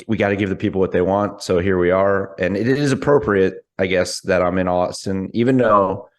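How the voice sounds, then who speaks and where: A man co-hosts a relaxed wrestling talk show from afar over a video call. His voice is low and raspy, worn rough from a night of drinking, and he talks in loose, hesitant stretches. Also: moderate pace. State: hoarse, hungover. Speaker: co-host. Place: in Texas, remote.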